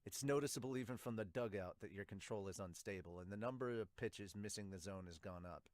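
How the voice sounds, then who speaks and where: Man playing a stern, low-voiced baseball coach. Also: brisk, darkish tone, dry recording, lightly acted. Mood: firm. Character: stern, composed adult male baseball coach. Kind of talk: amateur manga dub.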